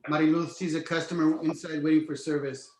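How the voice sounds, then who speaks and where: A man's voice: a little high, little pitch movement, a little fast, darkish tone. A man reading screenplay stage directions as a narrator, in an echoey room. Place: indoor room on virtual call.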